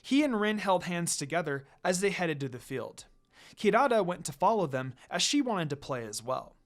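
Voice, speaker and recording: A man reading a fan fiction story aloud in an expressive narrating style. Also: high-pitched, moderate pace. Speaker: fan fiction reader, channel host. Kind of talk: expressive scripted story narration with casual asides. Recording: music under the voice. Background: music.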